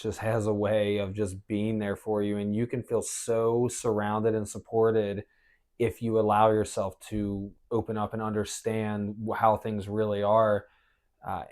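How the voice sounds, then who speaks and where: Casting: American man, podcast host, moderately low pitch, informal chat and first-person storytelling. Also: a little mellow.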